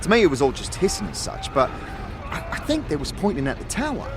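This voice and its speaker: Casting: friendly young lad at the forge, voiced by a man, high-pitched, slangy and encouraging, for a fantasy audio drama with music. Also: sing-song, fast, creaky. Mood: friendly, chatty. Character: friendly teenage boy smith, easygoing, encouraging, street slang. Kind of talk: audio drama character acting.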